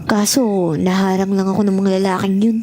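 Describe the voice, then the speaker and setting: A woman's voice: mid-range voice, flat delivery, moderate pace, mellow, slight background noise, close to an everyday voice. A Filipino woman playing a sweet, grateful young woman in an audio drama. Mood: friendly. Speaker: voice actor. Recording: music under the voice.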